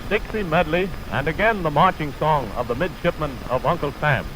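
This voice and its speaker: Male American announcer for a 1930 radio commercial: highish voice, polished and formal, heavy surface noise. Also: fairly quick. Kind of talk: scripted 1930s radio advertising announcement. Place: radio broadcast studio. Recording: noisy, scratchy 1930 radio aircheck.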